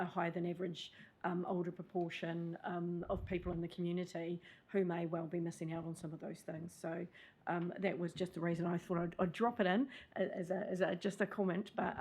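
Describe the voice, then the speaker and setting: A woman's voice: lowish voice, a little mellow. A woman presenting at a council meeting, hesitant with many fillers.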